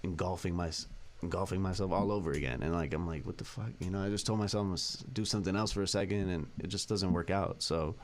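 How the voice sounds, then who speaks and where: A young man, a rapper, talking in a casual interview with a deep voice and a laid-back, slangy, candid manner. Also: steady tone, fast, slight background noise. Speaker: rapper guest.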